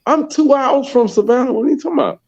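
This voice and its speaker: A man's voice: extremely high pitch, fast, creaky, a little mellow, lo-fi sound. A man in his forties from Georgia with a Southern US accent, chatting casually and boisterously, often repeating phrases for emphasis. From Georgia, United States. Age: 40s. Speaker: participant in a group live chat. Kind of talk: casual group chat.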